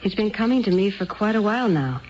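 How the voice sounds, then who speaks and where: A composed woman therapist , played by an actress, who takes charge with firm, measured reassurance. Her voice sits at a medium pitch. It is old radio drama with a slightly muffled sound. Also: animated, darkish tone, a little hiss or hum, natural voice. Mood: reassuring, brisk. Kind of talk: 1970s radio drama acting.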